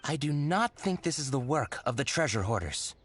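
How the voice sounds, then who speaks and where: A female underworld informant in an anime game. Her voice is low yet lively in pitch, coy and coolly knowing. Faint music plays behind. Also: moderate pace. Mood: knowing, cautious. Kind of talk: anime video game dialogue.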